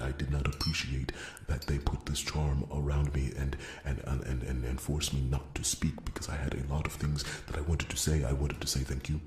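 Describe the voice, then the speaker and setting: Man playing a very deep, stammering, earnest dwarf. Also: echoey room, background noise. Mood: earnest, halting. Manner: stammering, repetitive, deferential, wordy. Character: rambling, earnest, wounded-pride dwarf smith loyal to royalty. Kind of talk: ASMR fantasy roleplay monologue.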